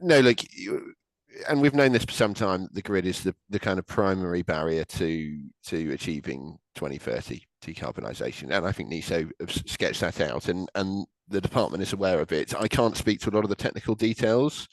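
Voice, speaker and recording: A young man from Wales, speaking as a government official on a webinar panel. His voice sits very low, and the sound is close and dry with no room around it. He talks in a careful, hedging way, full of fillers and restarts. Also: brisk, no room sound, rough recording.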